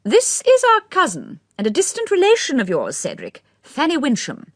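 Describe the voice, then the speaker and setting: A woman voicing an elderly aristocratic lady who speaks in high, eager exclamations. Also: huge pitch swings, normal speed, no echo, studio quality.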